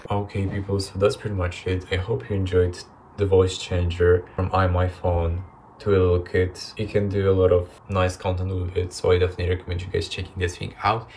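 A young man with a low voice presents a how-to vlog to camera. He explains a piece of software as its host. There is faint noise in the recording from a small room at home. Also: flat delivery, a little fast. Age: young adult. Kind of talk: upbeat scripted tutorial vlog to camera.

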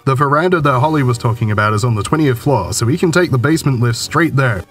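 A man hosting a video game show in scripted, dry and sarcastic comedic narration, his voice a little rough. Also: mid-range voice, expressive, quick pace, a bit bright. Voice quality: a little rough from a recent illness. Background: game music under the voice-over.

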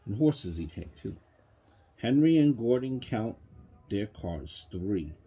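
A man reads a children's counting book at an unhurried pace. His voice is soft-edged and mellow, a little husky, and he patiently puts questions to a young listener. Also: lowish voice, big pitch swings. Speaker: parent reading a bedtime story to a child. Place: small child's bedroom, indoors.

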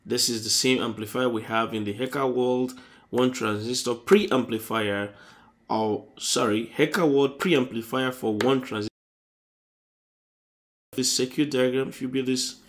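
A man hosting an electronics tutorial, explaining circuit diagrams on paper in a halting, self-correcting way. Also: medium pitch.

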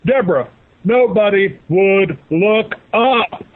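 Male radio host, slightly high voice that carries, pitchman's delivery, narrow lo-fi sound. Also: animated, slow pace, a little hiss or hum. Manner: loud, carrying, salesman-like storytelling. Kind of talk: talk radio storytelling.

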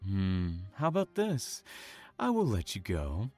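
A man's voice: on the low side, wildly swooping pitch, measured pace, a little mellow, soft background music. A man playing a sadistic, gloating serial killer in an audio drama, taunting his captive theatrically and slipping into menacing whispers. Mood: cruelly playful.

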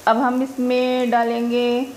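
A woman's voice: on the high side, completely flat delivery, slow, noisy background. A woman narrating her own cooking video step by step, chatty and homely, explaining each step as she cooks in her home kitchen. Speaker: cooking vlogger.

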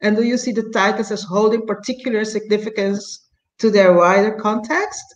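An older woman from Curaçao hosting an interview over a video call, speaking hesitantly with many pauses and rephrasings. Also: medium pitch. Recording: dull lo-fi call audio.

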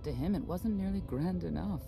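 A middle-aged woman playing a regretful, proud warrior mother in a video game, speaking in a measured, wistful way. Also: on the low side, creaky. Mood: reflective. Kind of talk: dramatic video game acting. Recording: music under the dialogue.

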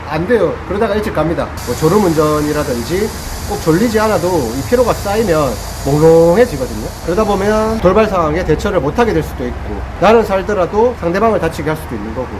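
Lively man chatting outdoors, high voice. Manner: playful, animated, joking to viewers. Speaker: vlogger. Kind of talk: casual outdoor vlog chat. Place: outdoors under a roof by a roadside rest area. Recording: outdoor noise behind.

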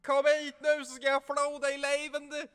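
Brash young cartoon hero, acted by a man, high, forceful, theatrical and bickering. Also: monotone, slight background noise. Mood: furious, shrill. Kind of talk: amateur cartoon fan dub.

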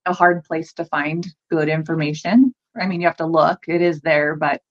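A woman's voice: sits low, little pitch movement, moderate pace. A woman speaking candidly as a guest on a casual podcast, joining over a video call. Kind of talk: casual conversation. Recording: dull lo-fi video call audio.

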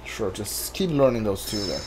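A man's voice: fairly low pitch, sing-song, normal speed, noisy background. A man giving casual commentary as he plays through a video game, as a streamer. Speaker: gameplay streamer.

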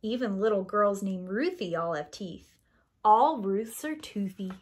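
A woman in her thirties reading a children's picture book aloud like a preschool teacher, with expressive, sing-song rhyming delivery and deliberate pauses. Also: mid-range voice.

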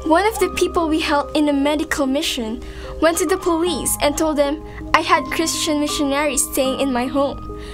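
A woman's voice: high-pitched, normal speed, over music, natural voice. A woman playing a frightened, newly jailed young woman, anxious and pleading, in an amateur radio drama.

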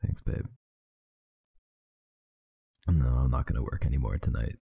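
Deep, gravelly man murmuring softly. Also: fairly even intonation, a little slow, no echo, studio quality. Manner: soft, intimate, affectionate murmuring. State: tired. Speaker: ASMR roleplay performer. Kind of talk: ASMR boyfriend roleplay.

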